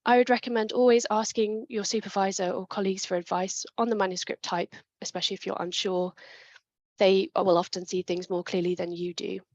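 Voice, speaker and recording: A woman presenting a webinar talk on writing papers, clear and methodical, with a chest infection coming on. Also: medium pitch, little pitch movement, a little fast, a little mellow, no room sound, dull audio. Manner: clear, methodical, with small restarts.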